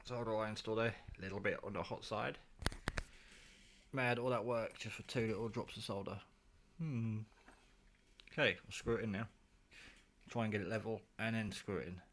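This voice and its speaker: A man with a fairly low voice talks through his hobby gear in a casual, offhand way. He half mutters to himself as he works with his hands. The handheld camera recording has faint noise and small handling clicks behind him. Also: fairly even intonation, normal speed, long pauses, a bit bright. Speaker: hobbyist demonstrating his CB radio kit. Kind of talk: casual hands-on show-and-tell.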